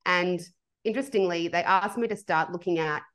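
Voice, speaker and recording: A woman's voice: lowish voice, fairly quick, fairly bright tone. A young Australian woman hosting a podcast interview, talking in an articulate, engaged way. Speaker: podcast host. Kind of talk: casual interview talk.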